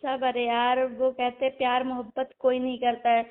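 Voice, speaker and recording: A woman's voice: a little high, monotone, moderate pace. A woman arguing on a phone call, complaining and sulky toward her partner. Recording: tinny phone line.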